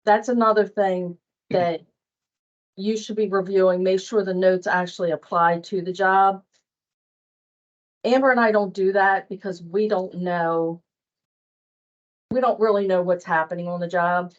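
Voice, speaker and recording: A woman leads staff through a procedure on a dull, lo-fi online call. Her voice sits at a middle pitch. She explains things plainly and conversationally, in a halting, informal way. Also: fairly even intonation. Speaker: staff trainer on invoicing. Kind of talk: informal training walkthrough. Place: online meeting with screen share. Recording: muffled lo-fi online meeting audio.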